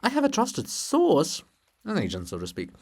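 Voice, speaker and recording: A man's voice: high voice, huge pitch swings, normal speed, heavily acted. A man voicing the bronze monument of an irascible bearded historian, emphatic and scornful, given to ranting.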